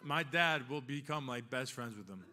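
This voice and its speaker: A man's voice: mid-range voice, moderate pace, bright, forceful tone, slight background noise. A man of about forty, giving a rambling, joking and heartfelt acceptance speech at a podium microphone in a ceremony hall. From Long Island, New York. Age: 40s. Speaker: hall of fame inductee.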